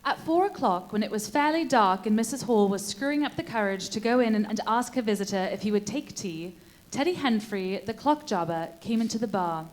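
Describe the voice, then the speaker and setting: A woman's voice: medium pitch, lively intonation, a little fast. A woman narrator reading scripted storytelling for a live radio drama, with some noise around her. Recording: live stage show, background noise.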